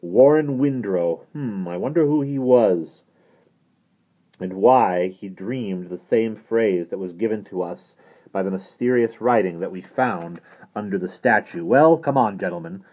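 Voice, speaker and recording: A man's voice: on the low side, a little slow. A man voicing an emphatic, learned priest who leads an adventure in a storybook, with a commanding, exclamatory delivery. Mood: solemn, earnest. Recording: dull, muffled lo-fi recording.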